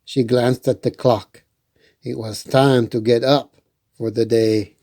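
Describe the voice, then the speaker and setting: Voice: medium pitch, steady tone, takes it slowly, mellow, dry recording, studio quality. A children's storytime host reading a picture book aloud expressively, with playful asides to the listeners.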